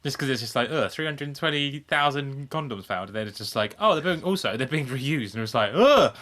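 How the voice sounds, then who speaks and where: A man's voice: medium pitch, lively intonation, brisk, fairly bright tone. A British man co-hosting a podcast in casual chat, speaking in a laid-back, sweary way with lots of "like". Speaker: podcast co-host. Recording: internet call recording.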